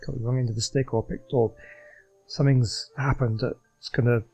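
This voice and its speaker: A man's voice: mid-range voice, steady tone, moderate pace, a little mellow, breathy edge, rough recording. A man giving casual commentary as he plays through a video game. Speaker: gaming host.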